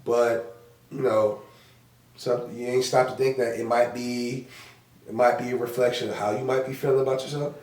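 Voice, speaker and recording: A hoarse man chatting on a podcast as co-host, rambling, repeating himself and cursing freely. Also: medium pitch, little pitch movement, normal speed, a little mellow, echoey room, distant mic. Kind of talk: casual podcast chat.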